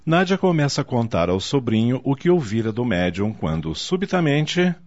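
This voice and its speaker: A man's voice: mid-range voice, very animated, moderate pace, very noisy. A man narrating a radio soap in a dramatic, scripted style. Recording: dull lo-fi audio, music underneath.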